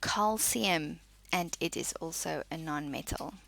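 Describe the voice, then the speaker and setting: Slow-paced, bright-voiced woman teaching. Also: sits low, creaky, slight background noise, poor audio. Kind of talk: spoken lesson, reading out and explaining.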